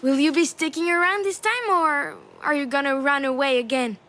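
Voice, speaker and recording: A woman playing a young daughter returned from the dead, cheeky, calm and matter-of-fact, in a video game. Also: sits high, moderate pace, noisy background, lightly acted. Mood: teasing.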